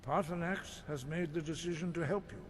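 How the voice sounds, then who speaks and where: An actor playing a solemn, wise elderly monk master in a fantasy video game, speaking in a grave, measured, formal way. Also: male voice, a little high, steady tone, slight background noise, close to an everyday voice. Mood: grave, resigned.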